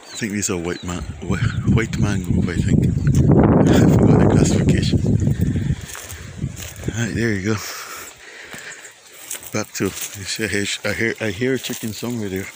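A man's voice: lowish voice, steady tone, normal speed, pauses often. A man narrating a casual nature walk to his phone camera, rambling and thinking aloud with many fillers. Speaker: nature vlogger. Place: outdoors on a windy coast and scrub. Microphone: phone camera held by the speaker. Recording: loud wind and outdoor noise.

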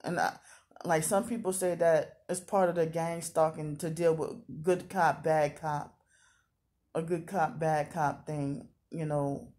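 A woman's voice: sits low, steady tone, takes it slowly, darkish tone. A woman in her thirties talking casually in a confessional vlog, rambling and repeating herself. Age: 30s. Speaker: vlogger.

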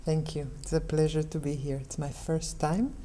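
A middle-aged woman from Israel, speaking as an interview guest in a calm, measured, explanatory way. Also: sits low, slight background noise. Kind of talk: unhurried interview talk.

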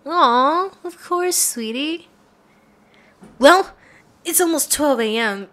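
A woman's voice: high voice, expressive, slow pace, fairly bright tone. A woman performing a girlfriend roleplay for listeners, coaxing and affectionate. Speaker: ASMR roleplay creator.

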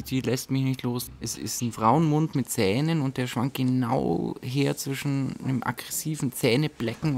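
A man, a painter, reflecting on his own work in a halting, searching interview manner. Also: mid-range voice, a little mellow.

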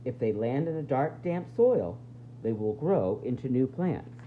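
Guy reading a kids book out loud, super up and down voice, playful dramatic bits, kinda muffled recording w faint noise. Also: medium pitch, lively intonation, measured pace. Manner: dramatic emphasis with playful asides. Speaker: teacher reading a picture book aloud. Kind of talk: animated read-aloud for young children.

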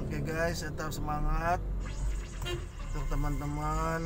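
A man's voice: a little high, little pitch movement, slow pace, music in the background. A man chatting casually to viewers while driving, filming from the dashboard, talking to a child in the back seat. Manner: chatty, addresses viewers as guys, coaxes child. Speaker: vlogger driving a car. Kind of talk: casual driving vlog chat. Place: inside a car on city streets.

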